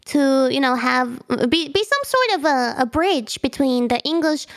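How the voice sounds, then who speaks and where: Bubbly high-voiced woman, German native. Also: lively intonation, normal speed. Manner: bubbly, giggly, restarts often. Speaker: guest streamer. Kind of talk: casual interview chat.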